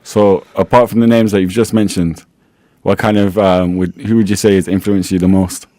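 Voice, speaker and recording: A man's voice: deep voice, fairly even intonation, moderate pace, dark tone, no room sound. A man hosting a radio interview in a studio, easygoing and teasing, laughing often. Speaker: radio host. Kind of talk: casual interview.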